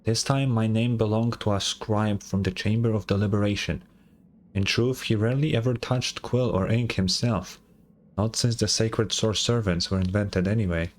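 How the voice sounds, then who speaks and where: A man's voice: on the low side, steady tone, normal speed, slight background noise, lightly acted. A man voicing a courteous, knowing guide who speaks through machines in a game, formal in manner. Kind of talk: reading game dialogue aloud.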